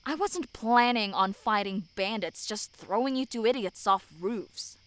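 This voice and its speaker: Woman acting a cocky, smug young noblewoman, unhurried. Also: mid-range voice, fairly bright tone, lightly acted. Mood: smug teasing. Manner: mocking, sarcastic banter. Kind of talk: audio drama acting.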